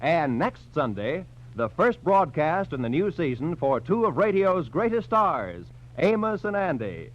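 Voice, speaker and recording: Male vintage network announcer, American, scripted and emphatic, slightly high voice, dull period recording. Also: big pitch swings, measured pace, hardly any pauses. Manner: polished, emphatic, formal. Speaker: radio announcer. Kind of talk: 1940s radio announcing, scripted credits and promotion. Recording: dull 1940s radio recording.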